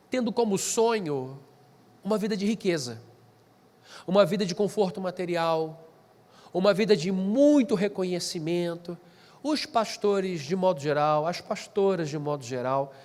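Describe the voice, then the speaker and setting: A man with a stuffy, nasal voice from allergies gives a conversational lecture to a live group, often restarting and adding asides. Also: high-pitched. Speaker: speaker at a pastors' training session. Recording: long hall reverb.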